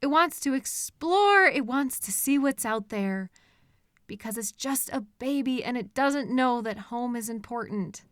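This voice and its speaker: A woman playing a possessive, sweetly menacing stalker in an intimate roleplay audio drama, coaxing softly with lingering pauses. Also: on the high side, lively intonation, no echo, clean studio sound. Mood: patronizing, coaxing.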